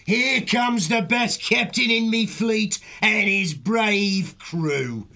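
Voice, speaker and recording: A man voicing a brutal, gloating sea rat pirate king in a storybook, with a gravelly, forceful voice and salty drawling speech. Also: high-pitched, slow pace, voice pushed up, heavily acted. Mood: mock-hearty welcome.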